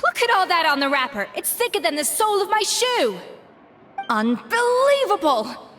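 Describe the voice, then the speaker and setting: A woman's voice: a little high, normal speed, a bit bright, a little hiss or hum, lightly acted. A woman voicing a proud, exacting young head chef in a video game, speaking in a precise, commanding and theatrical way. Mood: outraged. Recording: long reverb with music behind.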